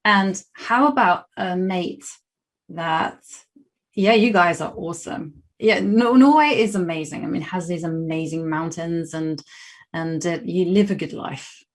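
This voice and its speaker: A woman's voice: fairly low pitch, unhurried. Chatty British woman streaming chess. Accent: British English. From Britain. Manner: chatty, rambling, many fillers and restarts. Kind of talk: casual livestream chat while playing chess.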